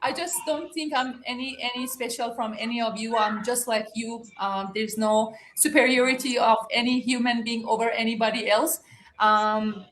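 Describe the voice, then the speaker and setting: A woman with a Persian accent speaking informally as a guest coach, humble and halting with repeated words. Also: on the high side, a bit bright. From Iran. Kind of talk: informal motivational talk on a livestream. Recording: echoey room on livestream.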